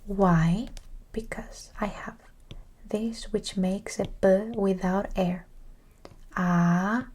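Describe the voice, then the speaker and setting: A woman teaching a language in a breathy ASMR whisper, encouraging and repeating words syllable by syllable. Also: medium pitch, fairly even intonation, very slow, darkish tone.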